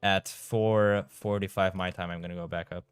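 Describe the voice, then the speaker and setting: Low-voiced young Romanian-accented streamer. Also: male voice, steady tone, moderate pace, smooth, no room sound, soft background music. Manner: chatty, reads chat aloud, trips over his words. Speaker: game streamer. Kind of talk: casual live-stream chat while playing.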